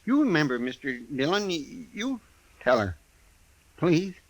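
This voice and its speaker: An actor playing a timid, countrified deputy sidekick with a rural American accent in a 1950s radio western. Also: male voice, fairly high pitch, sing-song, measured pace. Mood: nervous, flustered. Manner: countrified, deferential, stammering when cornered. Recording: slightly noisy old radio recording.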